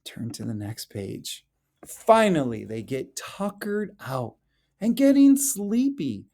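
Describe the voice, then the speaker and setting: A man reading a picture book aloud to young children in an animated, playful way, with exaggerated questions and exclamations addressed straight to them. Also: fairly high pitch, takes it slowly, gravelly. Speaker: elementary school educator reading aloud.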